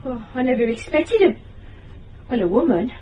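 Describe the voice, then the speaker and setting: An actress playing a frightened young British single mother in radio drama, speaking in a halting, rambling way. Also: fairly high pitch, very animated, recorded from a distance, highs cut off. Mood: nervous, hesitant. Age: young adult.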